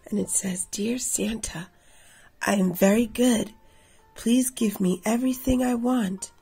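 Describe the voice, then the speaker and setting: A woman in her thirties reading a picture book aloud expressively for children, with a slightly husky, creaky voice. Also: on the high side, a little slow, warm tone, faint music behind. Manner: addresses children directly. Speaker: read-aloud storyteller for children.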